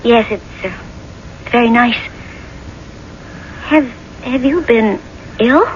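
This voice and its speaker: An actress playing a genteel, socially prominent wife in a 1970s radio drama, with a refined manner. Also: female voice, on the high side, takes it slowly. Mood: uneasy, guarded. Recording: dull, noisy vintage radio recording.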